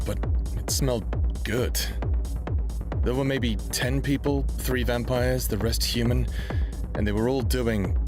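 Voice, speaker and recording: Low-voiced, bitter young captive played by a man. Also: lightly acted. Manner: sardonic, profane, brooding. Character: defiant, bitter young human captive among vampires, male. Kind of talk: first-person audio drama narration and dialogue.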